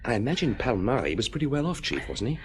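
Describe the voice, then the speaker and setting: An actor playing a dutiful police detective in a radio drama, giving his chief brisk reports. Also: mid-range voice, big pitch swings, a little hiss or hum, close to an everyday voice. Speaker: police inspector.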